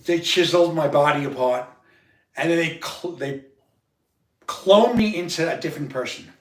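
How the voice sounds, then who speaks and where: Loud guy rambling on a livestream, keeps restarting and repeating words, echoey room. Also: on the high side. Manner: repetitive, halting, many restarts. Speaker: livestream host. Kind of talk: rambling personal monologue. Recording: roomy sound, far from the mic.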